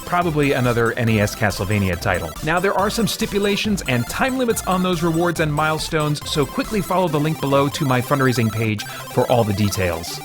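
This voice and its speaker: A man hosting a gaming channel, delivering a scripted promotional announcement. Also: fairly high pitch. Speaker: channel host promoting a charity fundraiser.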